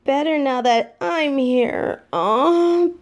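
An actress playing an affectionate, tired demon girlfriend in an audio roleplay, talking softly and close. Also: sits high, extremely slow, full character voice.

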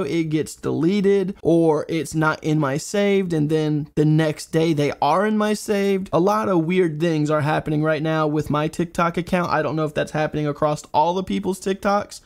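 A man in his thirties hosting a reaction show, talking casually to camera from a home studio, still getting over a chest cold. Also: on the high side. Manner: chatty, candid, laughs at himself. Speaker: host reacting to clips. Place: home studio with neon lights.

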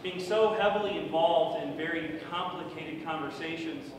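A middle-aged man giving a casual conference talk, loud and chatty with many fillers. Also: a little high, normal speed. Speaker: conference speaker. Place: large conference room with projector. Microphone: podium microphone from far off. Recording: echoey room, distant mic, noisy.

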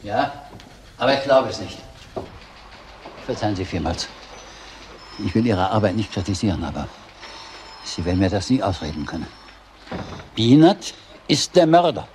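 An actor playing a grieving, bitter older schoolteacher in a crime drama, speaking haltingly and insistently. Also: male voice, lowish voice, very animated, a little mellow, slight background noise. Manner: halting, emphatic, repeating himself. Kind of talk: German crime drama acting.

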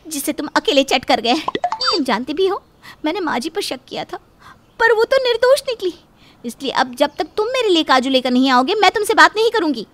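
A woman's voice: very high voice, pushed and bright, exaggerated voice. A woman voicing a quarrelsome, possessive young married woman in a cartoon family drama, scolding emphatically.

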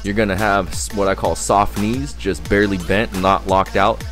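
A man's voice: lowish voice, fairly even intonation, normal speed, a bit bright, soft background music. A man in his thirties giving casual workout instruction in an upbeat coaching tone, from a living room and then a gym. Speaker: fitness vlogger.

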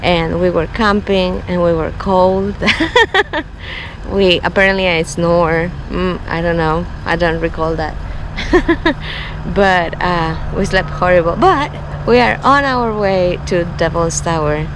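A woman's voice: on the low side, slow pace, noisy background. A woman in her thirties filming herself on a road trip, chatting cheerfully to the camera and laughing often. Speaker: travel vlogger. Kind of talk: casual travel vlog to camera. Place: outdoors on a road trip, roadside and campsite. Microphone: handheld camera at arm's length. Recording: music bed underneath.

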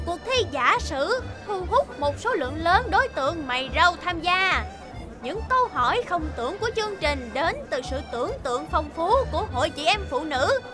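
A woman playing an upbeat gossip-news narrator, reading scripted cartoon narration. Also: extremely high pitch.

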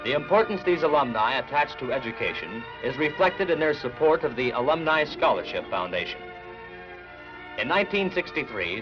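A man's voice: medium pitch, rough recording. Male 1960s newsreel narrator over music. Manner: measured, formal announcer delivery. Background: background music.

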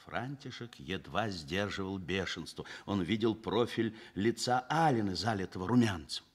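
A man narrating a Soviet radio play in an expressive, literary storytelling manner. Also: sits low, normal speed, slightly rough.

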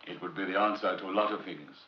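An actor playing a cautious, responsible professor in a 1950s film drama, measured, formal and firm. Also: male voice, sits low, close to an everyday voice. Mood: concerned, firm. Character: cautious, responsible male professor heading rocket project. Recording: muffled 1950s film soundtrack.

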